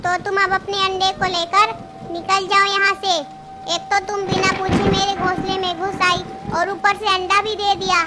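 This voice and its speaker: A bossy cartoon crow snaps and threatens in a shrill, very high voice, as a woman voices her. She guards her nest fiercely and talks down to the little bird. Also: little pitch movement, normal speed. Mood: scolding. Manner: harsh, threatening, then grudging. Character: scolding crow mother, female, bossy. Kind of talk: cartoon story voice acting.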